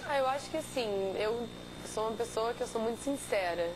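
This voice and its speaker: A woman's voice: a little high, animated, fairly quick, noisy background. A woman in her twenties with a Carioca accent, a swimmer answering interview questions earnestly. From Rio de Janeiro, Brazil. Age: 20s. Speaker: competitive swimmer, interview guest.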